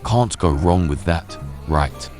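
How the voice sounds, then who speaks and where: A man acts out a weary spy in a sci-fi audiobook. The voice is low and scratchy, the manner wry and offhand, with clear music underneath. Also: expressive, slow, a little mellow. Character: weary, wry male secret agent, grown son. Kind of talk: audiobook character voice. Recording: music behind the voice.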